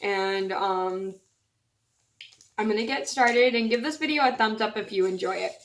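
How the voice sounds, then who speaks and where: Woman, scratchy voice, channel host reading a fairytale; chatty, plain delivery, average pitch. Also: normal speed. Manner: chatty, apologetic asides. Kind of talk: storybook read-aloud.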